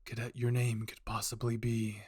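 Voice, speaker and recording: A man's voice: medium pitch, completely flat delivery, moderate pace, darkish tone, slightly rough, no echo, clean studio sound, natural voice. A man voicing a grim, stoic male squad captain in a story, stern and subdued. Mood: grim, resigned.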